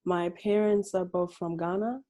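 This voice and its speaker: A woman's voice: on the low side, steady tone, a little slow, dark tone. A woman in her twenties from Germany, a student, who sounds upbeat as she introduces herself. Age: 20s.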